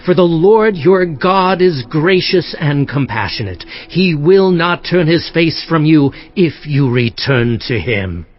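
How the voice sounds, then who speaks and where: An earnest king of scripture played by a man makes a solemn, exhorting proclamation in an audio drama. His fairly high male voice rises and falls in lively, declamatory sweeps. The sound is slightly muffled. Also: slow, few pauses. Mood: urgent exhortation. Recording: slightly muffled, faint music underneath.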